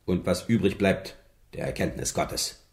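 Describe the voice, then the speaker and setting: A man's voice: deep voice, animated, measured pace, darkish tone, reverberant. An actor playing a blustering, vain, middle-aged king in a German radio play, imperious and volatile, swinging between muttering and outbursts. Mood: grim resolve.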